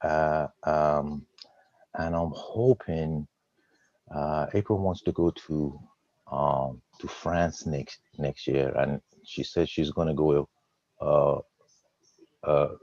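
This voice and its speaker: A man in his fifties with a Persian accent, speaking very deep and very slowly. He halts often and repeats words with a slight stutter as he tells his own story. His voice comes through a dry, dull web-call line. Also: fairly even intonation, a little mellow, dry recording. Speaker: guest trader. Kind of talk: personal story on a webinar. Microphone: video call.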